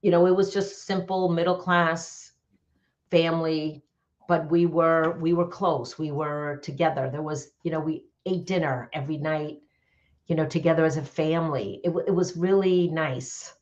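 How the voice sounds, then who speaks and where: New york lady podcast guest, deep voice, candid chatty, muffled lo-fi sound. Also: steady tone, moderate pace, darkish tone. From New York area, United States. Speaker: podcast guest, business coach. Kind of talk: casual personal storytelling. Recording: dull, muffled lo-fi audio.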